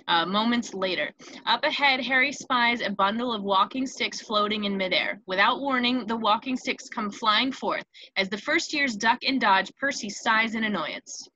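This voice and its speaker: Bright-voiced woman narrating a script. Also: on the high side, highs cut off. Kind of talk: scripted narration of screen directions.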